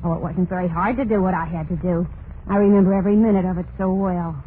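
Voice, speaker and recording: An actress playing a tormented, murderous young wife in a vintage radio horror play, confessing in a brooding, repetitive monologue with plain folksy speech. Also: female voice, fairly low pitch, steady tone, fast, narrow, tinny audio, close to an everyday voice. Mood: obsessive, confessing. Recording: noisy, band-limited vintage radio recording.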